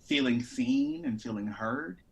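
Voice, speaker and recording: A man speaking as an actor being interviewed, candid, reflective and expressive in casual conversation. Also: mid-range voice, unhurried, vocal fry.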